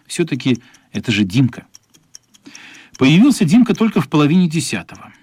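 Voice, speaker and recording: A man's voice: fairly high pitch, very animated, brisk, noisy background. A man narrating a radio play as a scripted story.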